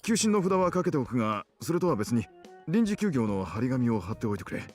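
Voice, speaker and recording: An actor voicing a gruff, tired male doctor in a visual novel, speaking in curt, clipped lines. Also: medium pitch, sing-song, very fast, darkish tone, faint music behind. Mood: brusque. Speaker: clinic doctor.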